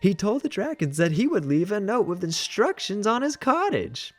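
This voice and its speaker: A man reading a children's storybook aloud as an animated narrator. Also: sits high, moderate pace, no room sound. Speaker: children's book reader.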